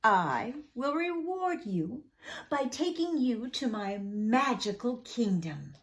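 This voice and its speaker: A woman voicing a gracious, grateful young prince in a children's storybook, courteous and measured. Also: on the high side, sing-song, exaggerated voice. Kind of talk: children's storybook read-aloud.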